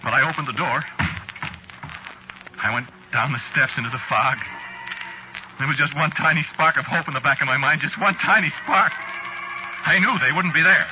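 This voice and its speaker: An American actor playing a haunted, guilt-ridden man, telling his story in an intimate, halting, brooding way as in 1940s radio drama. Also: fairly high pitch, natural voice. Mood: rising dread. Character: haunted, guilt-ridden man confessing a murder, sliding into terror. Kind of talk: 1940s radio drama first-person narration. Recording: muffled vintage radio broadcast recording. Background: music.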